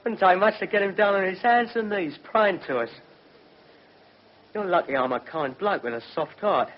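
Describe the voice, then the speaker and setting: An actor playing a cocky, menacing gangster, taunting and slangy in a radio drama. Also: male voice, high voice, moderate pace. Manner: taunting, slangy, mock-friendly, swaggering. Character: cocky, menacing British gangster, freshly acquitted. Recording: muffled, noisy old radio recording.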